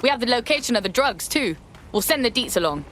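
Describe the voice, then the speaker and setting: An actress playing a confident, streetwise resistance hacker in a video game, with a London accent and slangy, casual delivery. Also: female voice, a little high, expressive, fast, fairly bright tone, a little hiss or hum. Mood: reassuring, upbeat. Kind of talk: conversational video game dialogue.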